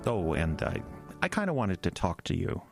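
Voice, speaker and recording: An actor playing an earnest, anxious young small-town pastor in an audio drama, halting and self-deprecating. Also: male voice, deep voice, huge pitch swings, creaky, over music, full character voice. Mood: nervous, awkward.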